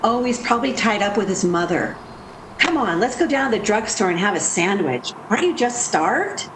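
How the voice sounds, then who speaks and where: A woman's voice: medium pitch, very animated, moderate pace, slight background noise, lightly acted. An actress playing a sensible, worried woman in a 1940s radio drama, speaking with earnest, theatrical delivery. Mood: impatient, coaxing. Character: sensible, worried woman friend, haunted when narrating the past. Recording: echoing radio play recording with music behind.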